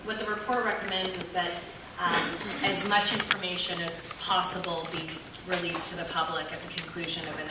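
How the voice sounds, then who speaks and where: A woman on a review team gives measured, careful answers to public questions, pausing often. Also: on the low side, far from the mic. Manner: measured and careful, with frequent pauses. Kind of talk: formal answers at a public meeting. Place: large echoey meeting room. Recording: echoey hall audio from afar with noise.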